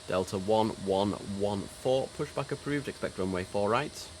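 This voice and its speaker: A man's voice: lowish voice, moderate pace. A man streaming a game, mixing casual commentary with air traffic control instructions over background noise.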